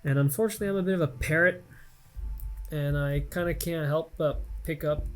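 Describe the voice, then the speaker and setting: A man's voice: fairly high pitch, a little fast. An American man in his late twenties, rambling and self-mocking as he thinks aloud over gameplay commentary at his home computer. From United States. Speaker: Let's Play gamer.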